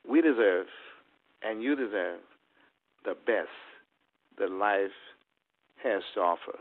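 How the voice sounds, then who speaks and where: A man giving a motivational talk in a preacher-like style, with emphatic, deliberate phrasing and repeated refrains. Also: mid-range voice. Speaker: motivational speaker. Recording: muffled lo-fi conference-call audio.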